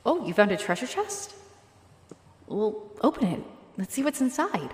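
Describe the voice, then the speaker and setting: A woman playing a friendly, flirtatious halfling orc adventurer in a roleplay audio, speaking straight to the listener in a warm, playful, expressive way. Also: a little high, moderate pace, slight background noise. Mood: curious.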